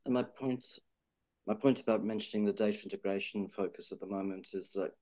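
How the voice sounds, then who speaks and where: Low, flat-voiced man. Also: brisk. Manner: thoughtful, hedging with fillers and restarts. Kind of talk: policy discussion.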